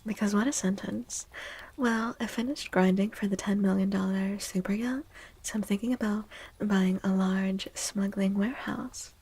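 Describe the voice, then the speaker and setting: A woman speaking in soft, hushed, breathy ASMR style, often slipping into whispers, chatting playfully with livestream viewers. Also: medium pitch, fairly even intonation, moderate pace, poor audio. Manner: playful, teasing. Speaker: ASMR streamer and tarot reader.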